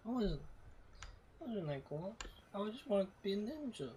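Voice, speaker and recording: A woman's voice: medium pitch, sing-song, moderate pace, warm tone, slight background noise. A woman giving casual, jokey gameplay commentary, muttering offhand as she streams. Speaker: game streamer.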